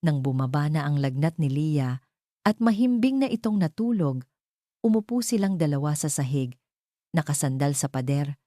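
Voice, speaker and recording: A woman's voice: sits low, lively intonation, moderate pace, warm tone, no room sound, studio quality. A woman with a Filipino accent reading a scripted dramatic story as narrator.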